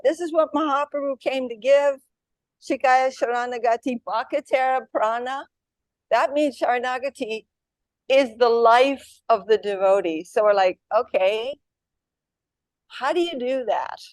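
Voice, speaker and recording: A soft-spoken woman giving a devotional talk in a friendly, informal way. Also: high voice, measured pace, dry recording. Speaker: speaker at devotional gathering.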